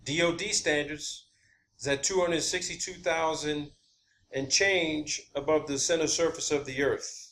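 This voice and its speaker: A man hosting a video, giving a rambling, unscripted explanation of his own theories in a halting, emphatic, blunt and casual way, repeating key words. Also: fairly high pitch, steady tone, a bit bright. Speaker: video channel host. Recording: clear background ambient noise.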